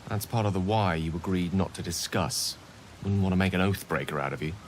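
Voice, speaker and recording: An actor playing a courteous, learned wizard with a grave secret, speaking in formal, wordy video game dialogue. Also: male voice, sits low, a little fast, a little hiss or hum, natural voice. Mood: wry. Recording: music and rain behind the voice. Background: music, rain.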